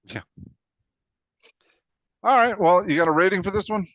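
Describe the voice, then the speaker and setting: A man's voice: sits high, moderate pace, fairly bright tone, no echo. A man co-hosting a casual two-person discussion, recorded with a dull, dry sound. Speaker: co-host.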